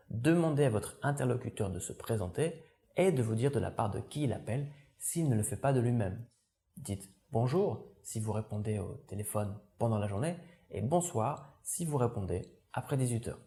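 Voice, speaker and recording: A man narrating a scripted language lesson as the teacher, in a formal, measured, instructional manner. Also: medium pitch, warm tone.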